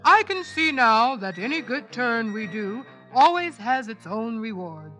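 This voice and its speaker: A big, proud lion speaks slowly and grandly in a loud, booming voice, as a woman reading a children's storybook puts him on. His delivery is lively and full of bright color. He sounds like the king of beasts. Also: soft background music, exaggerated voice. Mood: grateful.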